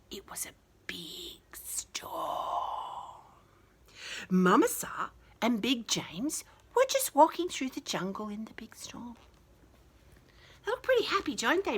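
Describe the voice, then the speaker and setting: A woman with an Australian accent reading a picture book to young children, adding chatty, affectionate asides in an expressive way. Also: on the high side, breathy edge. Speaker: children's storyteller and teacher.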